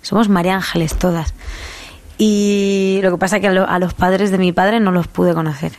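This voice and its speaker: A young woman from Albacete with a Manchego accent and Murcian touches, chatting in an interview, full of restarts and quick laughs. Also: fairly low pitch, steady tone, a little mellow. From La Mancha, Albacete, Spain. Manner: chatty, many restarts and fillers, laughs easily. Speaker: singer, interviewee. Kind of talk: casual interview chat.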